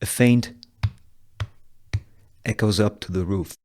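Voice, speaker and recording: A man reading screenplay narration and scene headings in a measured, deliberate way, in short phrases. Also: on the low side, a little mellow. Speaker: table read narrator reading all parts.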